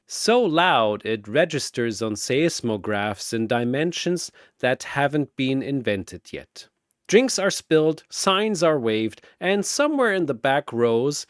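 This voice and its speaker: A man's voice: mid-range voice, clear, resonant tone, no room sound, clean studio sound. A man delivering dramatic scripted battle narration like an arena announcer, theatrical and grandiose.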